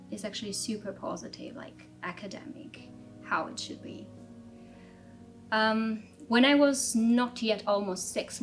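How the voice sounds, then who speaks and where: A woman in her thirties from Armenia, telling her life story in a measured, reflective way in an interview, with frequent fillers and restarts. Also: medium pitch. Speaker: interviewee, strategy director. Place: office.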